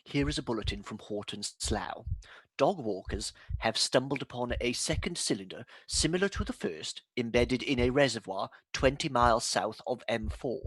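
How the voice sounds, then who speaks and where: An actor playing a radio announcer who reads battlefield bulletins in an even, measured way. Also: male voice, medium pitch, husky, no room sound, low-quality recording. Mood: grave. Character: steady male radio news announcer. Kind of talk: scripted bulletin reading.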